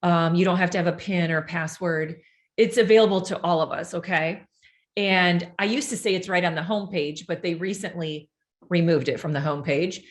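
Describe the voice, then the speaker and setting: Upbeat woman presenting a seminar. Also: on the low side. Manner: brisk, upbeat, explanatory, reads out audience questions. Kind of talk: informational presentation.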